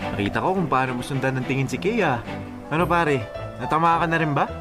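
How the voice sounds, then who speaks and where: A man's voice: on the high side, animated, quick pace, natural voice. A man playing a joking young office buddy in a radio drama, bantering and egging friends on. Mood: teasing. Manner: bantering, exclamatory. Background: music.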